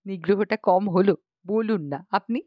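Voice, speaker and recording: A woman's voice: mid-range voice, measured pace, no echo, phone-quality sound, natural voice. A woman voicing an aggrieved, bossy young matron in an audiobook story, chatty and indignant, full of rhetorical questions. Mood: exasperated. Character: aggrieved, bossy young matron airing grievances about in-laws.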